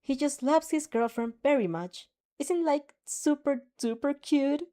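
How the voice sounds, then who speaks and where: A woman's voice: sits high, unhurried, warm tone, pitched above the performer's own voice. A woman voicing a bubbly, chirpy teenage girl with a valley-girl way of talking in a fanfic reading.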